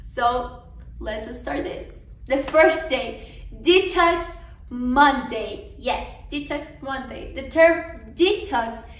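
A woman's voice: high-pitched, drawn-out words. A young woman, giving an earnest, encouraging devotional talk to a women's conference. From Colombia. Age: young adult. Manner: earnest and encouraging, some restarts. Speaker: conference speaker. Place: living room, seated on a sofa. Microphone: camera across the room. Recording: roomy, from a distance.

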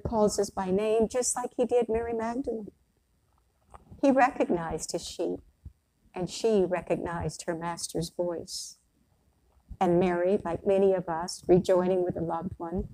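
Older woman preaching, mellow voice. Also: medium pitch, slight background noise, rough recording. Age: older, around 60s. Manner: measured, deliberate phrasing with pauses. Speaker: priest. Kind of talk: sermon, read from a prepared text. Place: church sanctuary, at the pulpit.

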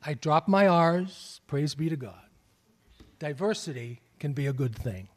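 A middle-aged man with a Boston accent, a pastor preaching a sermon from the pulpit, earnest, with rising calls and soft drops. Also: a little high, lively intonation, measured pace. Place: large church sanctuary. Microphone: stand mic at pulpit, far camera. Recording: long church reverb.

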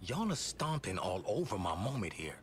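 A man's voice: lowish voice, very animated, moderate pace. A man playing a cocky, defiant convict marksman in a video game, brash and wisecracking. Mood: brash taunting. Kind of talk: video game antihero acting.

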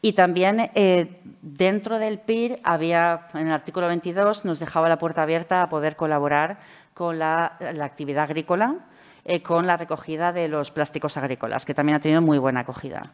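Woman, middle-aged, Valencian Spanish accent, deep voice, conference talk at a table mic, measured, hesitant fillers. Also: steady tone, smooth. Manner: measured, explanatory, frequent "eh" fillers. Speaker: speaker at a public administration conference. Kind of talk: conference presentation. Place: conference hall, seated at a table. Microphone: table microphone.

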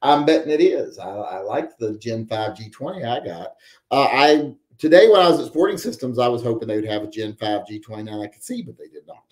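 A man's voice: mid-range voice, brisk, darkish tone, echoey room. A man in his forties hosting a live stream from home, reading viewer chat and rambling with wry joking asides. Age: 40s. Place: home room with guns on the wall.

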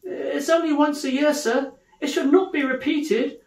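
A man's voice: very high voice, steady tone, a little slow, gravelly, darkish tone, roomy sound, voice pushed up, heavily acted, rough recording. A man acting a meek, nervous male clerk who pleads deferentially in a storybook reading. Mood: apologetic, nervous.

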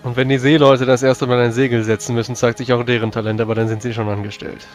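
Quick-talking, dry, sceptical man. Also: medium pitch, little pitch movement, faint music behind. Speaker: tabletop role-player. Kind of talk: in-character improvised dialogue.

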